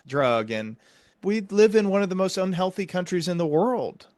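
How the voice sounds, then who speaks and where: A man's voice: high voice, animated, normal speed, darkish tone, no echo. Man explaining in a dry, close sound. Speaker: podcast host; psychiatric doctor. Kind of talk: conversational explaining.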